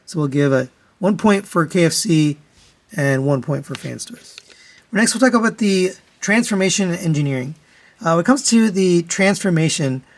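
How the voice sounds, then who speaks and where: A man giving a casual product comparison review, explaining step by step in a matter-of-fact, conversational way. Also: fairly high pitch. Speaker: toy reviewer, host.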